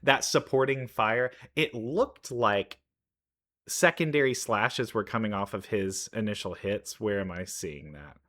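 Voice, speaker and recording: A man's voice: on the low side, measured pace, fairly bright tone. A man giving casual game trailer commentary as a reaction host, thinking aloud in an enthusiastic, rambling way. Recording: music behind the voice.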